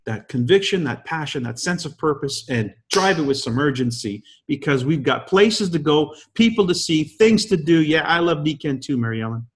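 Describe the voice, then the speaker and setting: A man's voice: a little high, expressive, moderate pace, hardly any pauses. A man in his fifties, guest-hosting a leadership call, giving a motivational talk full of emphatic rhetorical questions. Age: 50s. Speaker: guest host.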